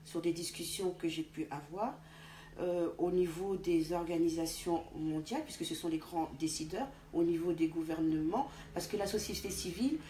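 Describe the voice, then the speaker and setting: A woman from France, a guest in an interview, speaking deliberately with frequent restarts. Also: lowish voice, dark tone. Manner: deliberate, halting with many restarts. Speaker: interview guest, party official. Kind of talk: conversational interview. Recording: echoey room with faint background noise.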